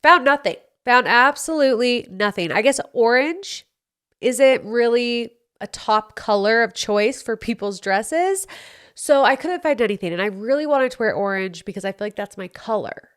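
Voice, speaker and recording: A woman's voice: on the high side, animated, normal speed, a bit bright, clear, resonant tone. A young woman hosting her own podcast, chatting candidly and playfully about her week, her voice a bit congested. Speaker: podcast host. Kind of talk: casual solo podcast chat. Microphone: studio mic.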